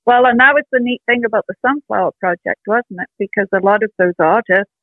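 An older woman artist talking in a casual interview, reflective and earnest, pausing with soft ums, heard over a phone call. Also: mid-range voice, no echo. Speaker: artist guest. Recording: tinny dry phone line.

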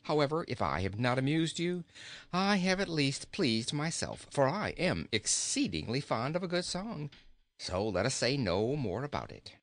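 A man's voice: medium pitch, sing-song, normal speed, no echo, pitched above the performer's own voice. A man voicing a jolly, teasing king with a cheery, chuckling, singsong manner in a storybook reading. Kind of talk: storybook character voice.

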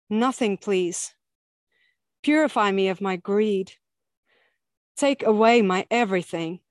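A woman from Finland, a guest poet who reads her poems slowly and chats with soft laughs. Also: medium pitch, no echo, clean studio sound. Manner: slow, measured recitation with soft laughs in between. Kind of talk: reading her poems aloud and chatting.

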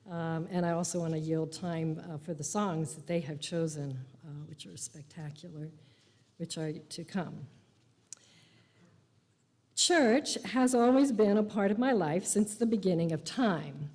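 An older woman giving a personal faith testimony in a church, speaking in a slow, reflective, gently humorous way. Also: fairly low pitch. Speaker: congregant sharing faith testimony. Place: church sanctuary. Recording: long reverb of a large hall.

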